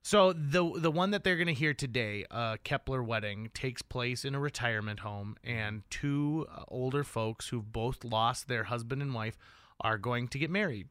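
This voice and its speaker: A man's voice: mid-range voice, normal speed, a bit bright, dry recording. A man hosting a radio show, chatting in an upbeat, enthusiastic and emphatic way from a studio. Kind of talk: upbeat radio interview chat. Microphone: close studio microphone.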